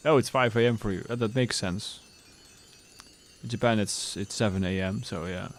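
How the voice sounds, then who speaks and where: A man in his thirties, streaming live and chatting casually with viewers in a relaxed, rambling way. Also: on the low side, moderate pace.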